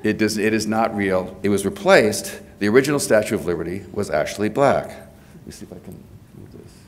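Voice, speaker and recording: A man in his sixties with a cold, giving an academic lecture at a podium microphone in a large hotel ballroom, often pausing on fillers and restarting his phrases. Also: on the low side, faint music behind. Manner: hesitant, many fillers and restarts. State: has a cold. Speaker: lecturer giving a presidential address.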